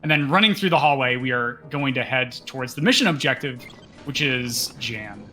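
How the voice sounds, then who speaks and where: A man's voice: medium pitch, lively intonation, normal speed, pushed and bright, faint music behind, low-quality recording. A man giving casual, explanatory live commentary on a video game speedrun.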